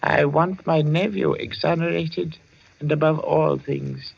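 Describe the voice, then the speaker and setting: Embittered old merchant, formal philosophical delivery, creaky voice, muffled 1940s radio recording. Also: male voice, fairly high pitch, steady tone, no room sound, heavily acted. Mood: grave, insinuating. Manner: formal, measured, philosophical speech. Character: embittered wealthy old Indian merchant, courtly and melancholy.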